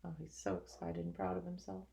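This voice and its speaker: A woman, a teacher, reading a picture book to children expressively, with gentle sympathetic asides. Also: on the low side, flat delivery, a little fast, creaky. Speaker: teacher reading a storybook to pupils.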